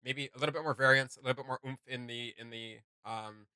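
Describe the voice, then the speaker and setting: A man's voice: mid-range voice, fairly even intonation, fast talker, sharp tone, smooth, no echo. A man hosting a reaction video in casual chat, rambling a little and often hesitating as he searches for words.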